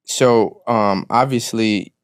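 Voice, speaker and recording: A man co-hosting a podcast, asking relaxed, slangy interview questions in a studio. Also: lowish voice, takes it slowly, dry recording. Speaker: podcast co-host.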